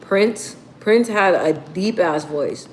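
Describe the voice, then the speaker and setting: A woman with a deep, bassy voice, casually chatting with viewers on a livestream. She rambles, reads comments aloud and swears freely. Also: expressive, slow pace, a little hiss or hum, poor audio. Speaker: livestream host.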